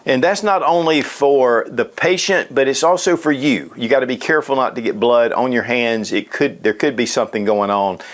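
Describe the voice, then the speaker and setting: A man with a mid-pitched voice presents a piece of gear in a casual, unscripted style. He explains each feature step by step, sounding practical and matter-of-fact. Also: normal speed, few pauses. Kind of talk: casual product demonstration.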